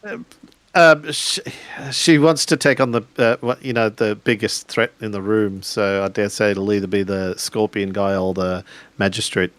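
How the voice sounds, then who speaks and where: A man's voice: on the low side, steady tone, normal speed, a bit bright, no room sound. A man casually narrating his character's actions in a tabletop game, hesitating as he searches for words. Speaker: player in a tabletop roleplaying game.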